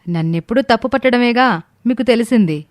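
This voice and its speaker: A woman's voice: fairly high pitch, expressive, brisk, a little mellow, no echo, clean studio sound, lightly acted. A woman playing a jealous, scheming young wife in a family story, complaining and sarcastic. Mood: bitter, sulky. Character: jealous, bitter young married woman, scheming sister-in-law.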